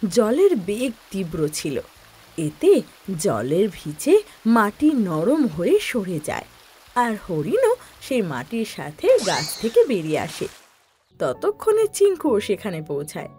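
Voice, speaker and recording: A woman's voice: medium pitch, huge pitch swings, slow pace, darkish tone, a little hiss or hum. A woman reading scripted narration for a children's story.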